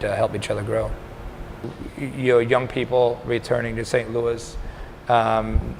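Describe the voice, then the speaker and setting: A man in his thirties from Denmark, a startup incubator co-founder answering interview questions in a measured, even way. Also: lowish voice, vocal fry. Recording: faint background noise.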